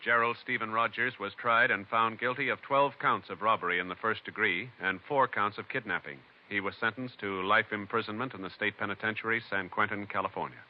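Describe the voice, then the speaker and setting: A man announcing in a formal, measured 1950s radio style, reading from a script. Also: fairly low pitch, steady tone. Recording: dull vintage radio recording. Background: music.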